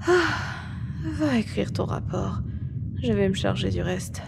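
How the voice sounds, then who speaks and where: A woman playing a caring, attentive monster-girl nurse in a French roleplay ASMR, speaking in a soothing, hushed way. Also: medium pitch, expressive, takes it slowly, creaky, heavy background noise, full character voice. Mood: exasperated.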